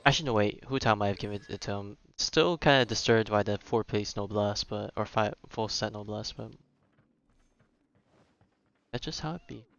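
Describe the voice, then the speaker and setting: Smooth, lowish-voiced man streaming a game. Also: normal speed, a bit bright, no room sound, highs cut off. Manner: slangy, rambling, thinking aloud. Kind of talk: casual live gameplay commentary.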